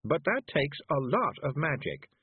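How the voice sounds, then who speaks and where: A man voicing a composed, learned woman witch who speaks in a measured, precise, dryly analytical way for a storybook. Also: fairly high pitch, big pitch swings, slightly rough, dry recording, narrow, tinny audio, voice pushed up, exaggerated voice. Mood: measured, considering. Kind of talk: audiobook character voice.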